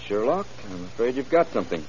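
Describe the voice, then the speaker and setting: An actor in a 1940s-style radio drama playing a wisecracking, grumbling police fingerprint expert with a sardonic manner. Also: male voice, mid-range voice, big pitch swings, a little mellow, close to an everyday voice. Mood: matter-of-fact. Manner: wry, sardonic, drawn-out teasing. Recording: hissy, muffled old radio recording.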